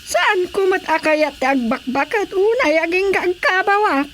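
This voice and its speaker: A woman's voice: very high voice, lively intonation, measured pace, fairly bright tone, slight background noise, full character voice. A woman playing a stubborn, querulous old Filipino village woman in a radio comedy, whiny and exclamatory. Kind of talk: radio drama comedy acting.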